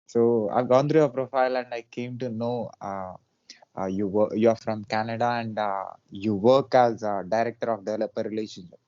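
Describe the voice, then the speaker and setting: A man's voice: lowish voice, steady tone, moderate pace, a little mellow, no echo. Polite guy doing podcast interview, stumbles and repeats words, very dry muffled mic. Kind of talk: interview questions.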